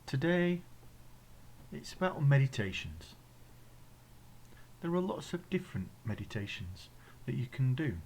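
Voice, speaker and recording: A man with an English accent leading a guided meditation in a gentle, soothing, instructional way, leaving pauses between phrases. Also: mid-range voice, very animated, slight background noise. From England.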